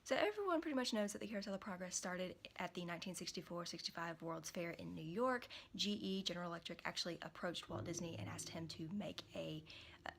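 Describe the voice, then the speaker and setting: A young woman, hoarse from a cold, chatting casually to camera in a small room, rambling with many fillers and restarts. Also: lowish voice, fast. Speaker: vlogger.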